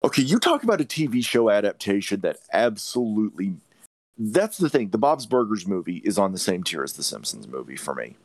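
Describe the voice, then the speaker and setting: Animated, low-voiced man, very emphatic. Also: brisk, no room sound. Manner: emphatic, repeats phrases for stress. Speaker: podcast co-host. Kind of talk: casual film review chat.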